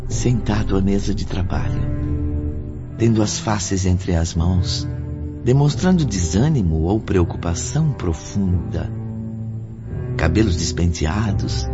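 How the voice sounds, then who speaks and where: A Brazilian man acting as a grave storyteller in a radio drama, theatrical, solemn and deliberate. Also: on the low side. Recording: dull lo-fi audio under music. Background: background music.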